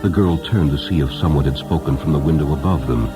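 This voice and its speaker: A man reading a story as a dramatic narrator, solemn, reverent and measured. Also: a bass voice. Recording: music under the voice. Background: music.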